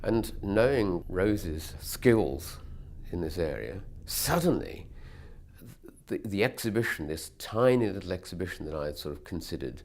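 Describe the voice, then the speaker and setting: A man's voice: sits low, expressive, measured pace, a little mellow. A man giving a considered explanation of his work in an interview. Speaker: artist. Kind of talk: interview talk.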